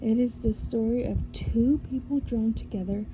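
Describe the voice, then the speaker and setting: A woman reading a storybook aloud in a soft ASMR style, slowly and carefully, sometimes slipping into a whisper and correcting small slips as she goes. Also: on the high side, slight background noise, poor audio. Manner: drops to a whisper at times. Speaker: ASMR reader.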